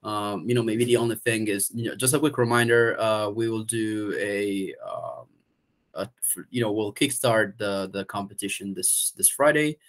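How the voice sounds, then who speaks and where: A man's voice: fairly low pitch, moderate pace. A young man with an Italian accent, talking hesitantly with many restarts as he updates a community call from home over a video call. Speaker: event organizer. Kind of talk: casual video call meeting. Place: room at home.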